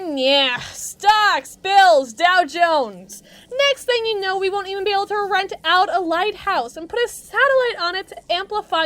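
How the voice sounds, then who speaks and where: Woman acting a brash, high-pitched villain henchwoman. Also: fairly bright tone, exaggerated voice. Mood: mocking, indignant. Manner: sarcastic, theatrical, mocking, slangy tough talk. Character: brash, mean female villain henchwoman, muscle for evil agency. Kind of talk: acted monologue roleplay addressed to listener.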